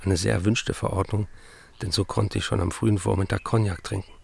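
A hard-drinking, excitable writer in a literary radio play. His voice is low and gravelly, with a husky grain. He recounts his life and reads out his letters in a dry, wry way. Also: fairly quick, heavily acted. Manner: dry, wry first-person storytelling and letter reading. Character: Hans Fallada, hard-drinking, excitable German novelist.

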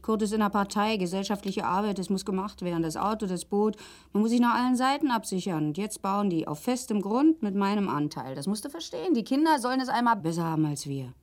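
An actress playing a bitter East German wife in a grotesque radio play, sarcastic, needling and forever complaining. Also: female voice, medium pitch, expressive, quick pace, lightly acted. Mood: nagging. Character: bitter, nagging East German wife worn down by evenings at home.

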